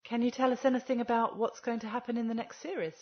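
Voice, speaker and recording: A woman's voice: on the high side, steady tone, quick pace, muffled recording. A woman asking prepared interview questions as a guest who sends in a recorded message.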